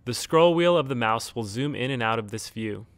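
A man reading a scripted instructional tutorial in a measured, neutral, step-by-step way. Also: medium pitch, lively intonation, clean tone, no room sound, studio quality. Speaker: tutorial narrator. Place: close, dry studio-style voice-over recording.

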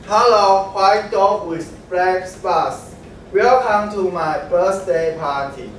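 A young man playing an eager little frog child, reading his lines slowly in a readers' theatre. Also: sits high, a little hiss or hum. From Taiwan. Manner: slow, deliberate reading from a script. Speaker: student reader. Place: large classroom, standing in a row. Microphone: far camera. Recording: very echoey classroom from far off.

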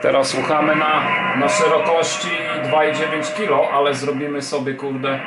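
A man's voice: a little high, level tone, normal speed. A man informally demonstrating an amateur radio, muttering mild swear words and calling out over the air. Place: indoor room at a desk beside a transceiver. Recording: roomy, noisy with radio static. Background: radio receiver noise and chatter.

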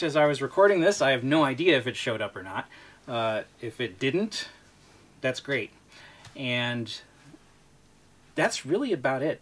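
A man in his forties hosting a casual product review, speaking in a relaxed, rambling, self-deprecating way from a camera-mounted shotgun mic about eight feet away in an indoor room. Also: medium pitch. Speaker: host reviewing a microphone. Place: indoor room with storage shelves, fridge hum.

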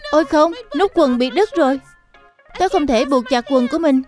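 A performer voicing an earnest, excitable young bear cub in a children's cartoon dub, with simple childlike delivery. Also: high-pitched, normal speed, soft background music, lightly acted. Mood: curious, helpful.

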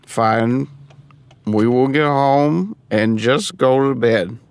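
An actor playing a drunk, superstitious man who is easily spooked and defensive, talking in a halting, tipsy way. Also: medium pitch, smooth. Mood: tipsy, stubborn. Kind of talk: audio drama dialogue. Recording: car noise behind the voice.

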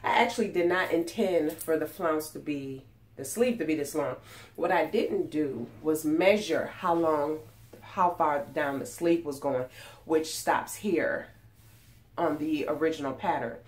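Low-voiced woman with a stuffy cold. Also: measured pace. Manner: chatty, rambling, many ums and restarts. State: congested from a cold, nasal. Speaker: sewing vlogger. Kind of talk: casual chat. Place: small room at home, indoors. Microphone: webcam at desk distance.

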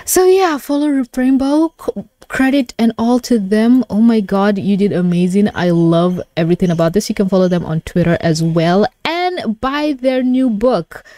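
A young adult woman giving a casual live reaction, gushing and exclaiming with excitement. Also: medium pitch, normal speed, no echo. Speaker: video creator reacting to a webcomic. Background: pop song playing.

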